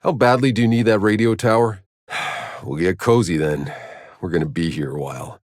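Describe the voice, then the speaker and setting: Low, gruff, world-weary soldier hero, played by a man. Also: steady tone, moderate pace, darkish tone, no room sound, close to an everyday voice. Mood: dry, sardonic. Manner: terse, dry, world-weary delivery. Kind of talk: video game character voice lines.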